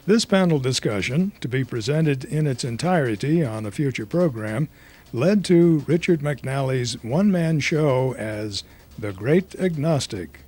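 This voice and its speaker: Male announcer voice, middle pitch, reading a scripted bit. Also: expressive, a little slow, darkish tone. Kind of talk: scripted narration.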